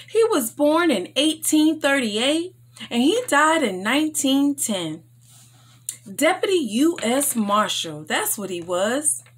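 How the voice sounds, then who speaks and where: A woman hosting a story time, reading aloud for children in an enthusiastic, expressive and emphatic way. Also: on the high side.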